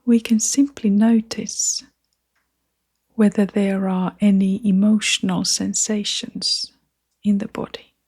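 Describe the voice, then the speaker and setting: A woman guiding a meditation in a soft, half-whispered voice, gentle and soothing, leaving long pauses between phrases. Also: mid-range voice, little pitch movement, breathy edge. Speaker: meditation guide. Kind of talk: guided meditation narration.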